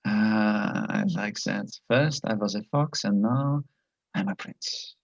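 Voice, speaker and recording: An actor playing a menacing, flirtatious military commandant in a stage play reading, speaking slowly and insinuatingly. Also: male voice, on the low side, gravelly, no room sound, dull audio, exaggerated voice. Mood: silky menace. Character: menacing, flirtatious male Nazi commandant, smugly charming.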